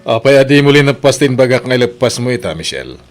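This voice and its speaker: An actor playing a demanding, impatient boss in an audio drama, bossy and berating, with an Ilocano accent. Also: male voice, mid-range voice, very animated, quick pace, over music, natural voice.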